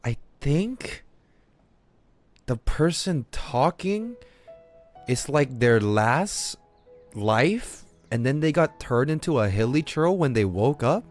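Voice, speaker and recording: A man doing casual live gameplay commentary as a streamer, reactive and excitable, swearing casually. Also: mid-range voice, takes it slowly, soft background music. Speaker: game streamer.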